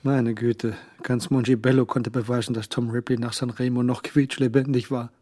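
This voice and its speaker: An actor narrating a radio play, voicing a schemer's private thoughts in a dry, sardonic manner. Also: male voice, medium pitch, little pitch movement, normal speed, warm tone, lightly acted. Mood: contemptuous.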